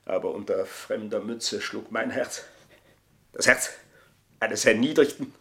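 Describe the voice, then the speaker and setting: An older actor playing an embittered, boastful manservant in a German radio play, speaking in a florid, mocking, theatrical way. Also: male voice, fairly low pitch, measured pace, vocal fry, sharp tone, full character voice. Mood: bitter, confiding. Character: aging, embittered, boastful manservant taunting his mistresses.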